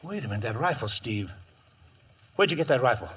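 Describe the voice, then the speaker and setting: An American actor playing a sadistic, taunting outlaw gang leader in a western radio drama, drawling with cackling glee. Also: male voice, medium pitch, lively intonation, a little fast, fairly bright tone, slightly rough. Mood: sly, insistent.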